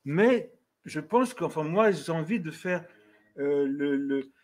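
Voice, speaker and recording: A man's voice: fairly high pitch, animated, takes it slowly, a little mellow. A man, a writer on a panel, speaking earnestly with fumbling starts before an audience. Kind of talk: panel discussion. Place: café venue with audience.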